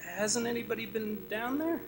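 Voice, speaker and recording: A man's voice: very high-pitched, normal speed, slight background noise, rough recording. An actor playing a frightened, reluctant young man in a radio drama, speaking in a plaintive, nervous way. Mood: scared, balking. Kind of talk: old-time radio drama acting.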